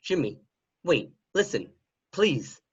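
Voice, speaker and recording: A muffled, lo-fi home recording of a pestering, desperate man, played by an actor in a crime radio play. He pleads insistently in a high, husky voice. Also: sing-song, slow pace, heavily acted. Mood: desperate pleading. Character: desperate, pestering man demanding his share of money.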